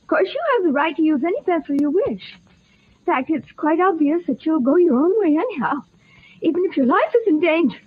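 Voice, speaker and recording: A fussy 1940s radio drama secretary gal, really high breathless voice, tinny old broadcast. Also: female voice, animated, a little fast. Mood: prim, reproachful. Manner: primly wordy, breathless chatter. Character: prim, fussy, flustered young secretary smitten with her detective boss. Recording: band-limited old radio broadcast recording.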